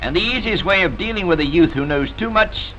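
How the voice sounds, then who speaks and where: A man delivers a solemn newsreel narration in a bright, forceful voice with sweeping, animated pitch. His delivery is dramatic and oratorical, like a formal public address. The old soundtrack is dull and limited. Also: a little fast, slight background noise. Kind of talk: scripted vintage newsreel narration.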